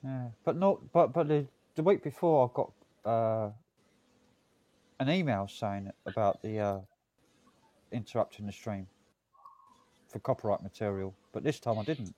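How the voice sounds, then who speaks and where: A man hosting a stream, chatting casually in a relaxed, matter-of-fact way. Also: fairly low pitch, a little slow, long pauses. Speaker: stream host. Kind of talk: casual chat.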